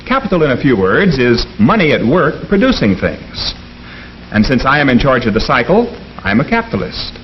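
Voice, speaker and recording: An actor playing an earnest American professor in a 1950s educational film, speaking in a formal, deliberate, didactic way. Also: male voice, mid-range voice, very animated, close to an everyday voice. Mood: earnest, instructive. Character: earnest male economics professor lecturing his class. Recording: dull, noisy 1950s film soundtrack.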